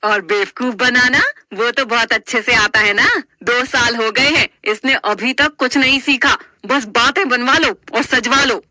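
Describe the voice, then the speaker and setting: A woman's voice: a little high, measured pace, no echo. A woman voicing a stern, sarcastic older mother-in-law who nags and scolds sharply in a story. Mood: scolding. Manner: sharp, nagging, taunting.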